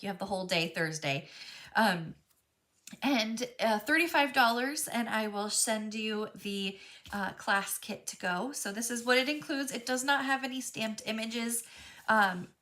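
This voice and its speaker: A woman's voice: fairly high pitch, sharp tone. A woman leading a live crafting class in a chatty, friendly way, with frequent ums and a stuffy, froggy voice from a cold. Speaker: craft instructor.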